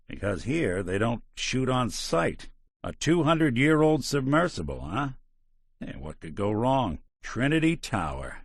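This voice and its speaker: A gruff middle-aged detective who is half machine. He talks in dry wisecracks with a lowish voice that rises and falls freely. The recording is close, dead, and studio-clean. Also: no echo. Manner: dry wisecracking, world-weary. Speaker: companion character. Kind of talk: game character barks.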